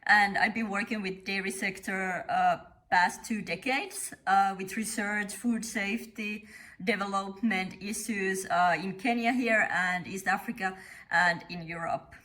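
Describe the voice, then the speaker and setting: A middle-aged woman from Finland speaks with a Finnish accent, her voice bright and clear. She talks in an interview in a measured, thoughtful way, stopping often on short "uh" pauses to find her words. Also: medium pitch, steady tone. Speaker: company founder. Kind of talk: interview monologue.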